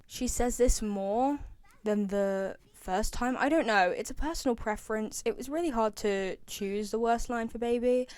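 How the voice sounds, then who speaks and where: A young British woman with a fairly high voice hosts a casual commentary video. She repeats phrases for emphasis, and the sound is close and dry. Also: normal speed, darkish tone, clean tone, no echo. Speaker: video host, game fan.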